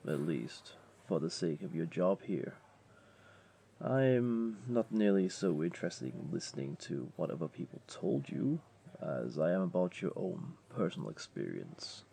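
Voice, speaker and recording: A man playing a flirty, comforting jaguar beastling in an intimate audio roleplay, gently coaxing the listener along. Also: sits low, creaky, breathy edge, full character voice. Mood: reassuring. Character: flirty, comforting male jaguar beastling, patient restaurant owner.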